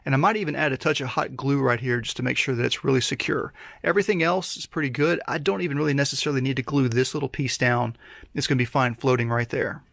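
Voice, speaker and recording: A man's voice: medium pitch, steady tone, fast talker, vocal fry, a bit bright, dry recording. A man giving an informal, explanatory how-to narration, picked up on a camera's built-in microphone. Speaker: hobby electronics builder and video host.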